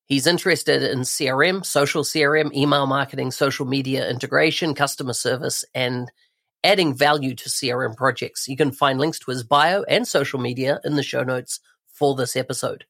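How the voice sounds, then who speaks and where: A man's voice: medium pitch, fairly even intonation, brisk, a bit bright. A man hosting a podcast interview, introducing and questioning in a conversational way. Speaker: podcast host.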